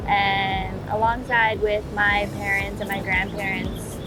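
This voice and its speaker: A woman's voice: medium pitch, flat delivery, slow, noisy background. Young woman surfer, earnest. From Hawaii, Waikiki. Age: young adult. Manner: earnest, many fillers like "you know" and "like". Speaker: professional surfer. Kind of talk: interview talk. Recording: music bed underneath.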